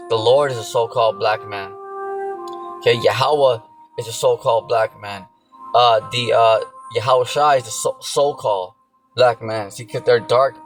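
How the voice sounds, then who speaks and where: A man giving an informal sermon, repeating himself and often checking in with the listener. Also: mid-range voice. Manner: repetitive, filler-heavy, keeps checking in with "okay" and "you know". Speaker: religious teacher. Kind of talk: informal preaching. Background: music.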